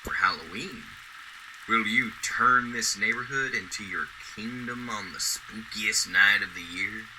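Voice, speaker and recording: Deep low voice, guy playing a kindly folksy truck driver in an old-time radio play, noisy recording. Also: unhurried, sharp tone. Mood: warm, playful. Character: kindly middle-aged garbage truck driver, gentle bereaved father. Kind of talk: golden-age radio drama acting.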